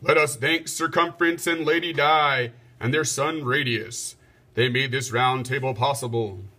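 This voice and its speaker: A man's voice: medium pitch, steady tone, a little slow, bright, forceful tone. A man voicing a commanding, stately storybook king, formal and declamatory. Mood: proud announcing. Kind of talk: storybook read-aloud.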